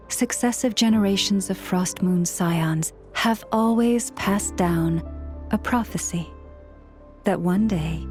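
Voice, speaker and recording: A woman playing a serene, modest young priestess in a video game, speaking in a measured, solemn, formal way. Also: mid-range voice, mellow, lightly acted. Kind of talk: video game storytelling dialogue.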